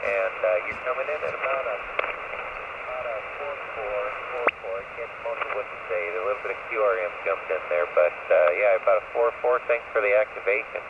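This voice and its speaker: A man's voice: lowish voice, a little fast, creaky. A man chatting casually as an amateur radio operator, somewhat hesitant, heard over a noisy shortwave radio transmission. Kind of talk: casual ham radio exchange. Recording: tinny, distant, noisy shortwave radio signal.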